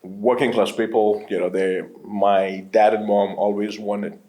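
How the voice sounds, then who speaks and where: A low-pitched man from Qatar in his late thirties talks through an interview in a loose, rambling way. He circles back on his sentences, drops in fillers and laughs easily as he goes. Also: little pitch movement, a little slow, warm tone, poor audio. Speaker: entrepreneur guest. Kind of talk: casual interview conversation.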